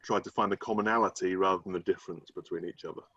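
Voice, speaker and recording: The British coach you'd hear as a guest on an online interview, low voice, feeling his way through answers over slightly muffled audio. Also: fairly even intonation, racing, fairly bright tone. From United Kingdom. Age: middle-aged. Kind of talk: casual video-call interview. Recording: dull, muffled video-call audio.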